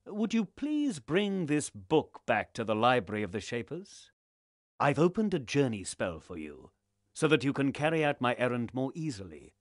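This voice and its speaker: A man's voice: mid-range voice, big pitch swings, no room sound, clean studio sound, close to an everyday voice. Man playing a solemn, swooping fantasy lord. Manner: formal, measured, archaic courtly phrasing. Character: solemn male lord of a hall of gods, formal, commanding. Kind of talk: fantasy game cutscene acting.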